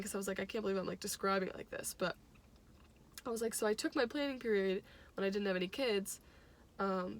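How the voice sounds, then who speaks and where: A young adult woman casually telling a personal story in an animated, giggly way, acting out bits of dialogue as she goes. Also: mid-range voice. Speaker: teacher and music fan telling a personal anecdote.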